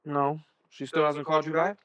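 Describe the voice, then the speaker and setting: Man acting a calm son, higher male voice. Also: normal speed, dry recording, close to an everyday voice. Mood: calm, reassuring. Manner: casual, reassuring. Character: calm, reassuring young adult son. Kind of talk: stage play acting.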